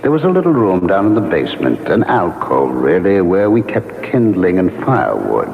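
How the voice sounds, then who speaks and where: Mournful gentleman ghost, low and creaky, formal storytelling for vintage radio drama. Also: huge pitch swings, heavily acted. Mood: mournful, bitter. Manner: formal, measured, hesitant storytelling. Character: ghost of a murdered, betrayed would-be novelist, gentle and mournful. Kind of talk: classic radio drama acting. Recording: lo-fi vintage radio, long echoing reverb.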